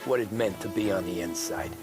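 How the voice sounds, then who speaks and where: A low-voiced American wise guy played by a male actor from a period crime game. He sounds weary and streetwise, a cabbie turned mob enforcer, with orchestral music behind him. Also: fairly quick, natural voice. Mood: reflective, matter-of-fact. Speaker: actor voicing the game's protagonist. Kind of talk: cinematic crime game dialogue and narration.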